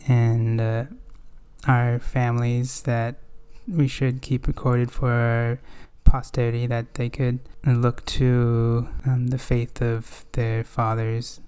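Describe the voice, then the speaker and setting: Male podcast host, smooth and steady voice, earnest, thoughtful, halting at times. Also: medium pitch. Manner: earnest, reflective, halting with fillers. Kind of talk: casual scripture discussion.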